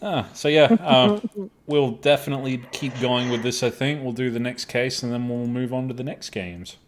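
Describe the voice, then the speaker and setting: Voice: medium pitch, moderate pace, crowd noise. A stream host chatting casually with a group of friends during a live broadcast. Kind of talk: casual livestream chat among friends.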